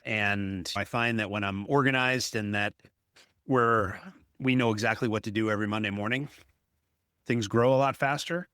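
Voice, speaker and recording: A man's voice: on the low side, moderate pace, a bit bright, clean tone, no room sound, studio quality. A man hosting a conversational business interview, talking in an emphatic, anecdotal way and often checking in with "right?". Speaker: podcast host, apartment investor.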